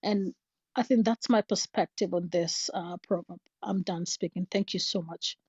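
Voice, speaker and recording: A woman's voice: lowish voice, steady tone, moderate pace, darkish tone, husky, dry recording. A woman shares her thoughts earnestly, often stopping on fillers. Her voice sits close and dry, with no room around it. The audio is muffled and lo-fi, like an online voice room. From Kenya. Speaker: guest speaker. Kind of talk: casual reflective talk.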